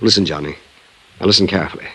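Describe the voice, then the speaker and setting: An American man playing an earnest, sentimental insurance agent, husky and hesitant, who talks in circles in a vintage radio drama. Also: sits low, very fast, fairly bright tone, poor audio. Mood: grave, hesitant. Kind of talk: 1950s radio drama acting.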